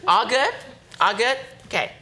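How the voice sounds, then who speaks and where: A young man teaching a live nail art workshop, chatty and repeating steps softly as he demonstrates. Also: very high voice, animated, a little slow, background noise. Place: bright studio classroom with students.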